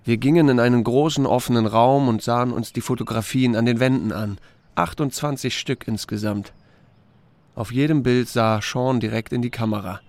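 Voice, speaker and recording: A man's voice: medium pitch, normal speed, darkish tone, no echo, natural voice. A man playing a reserved small-town sheriff in a German radio drama, narrating and speaking in terse, understated lines. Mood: reflective, matter-of-fact.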